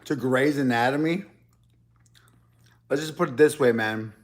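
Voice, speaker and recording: A man's voice: medium pitch, a little slow. A young man in a casual, rambling chat as he eats on camera, often restarting his sentences. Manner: rambling, loose, with many restarts. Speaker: mukbang YouTuber.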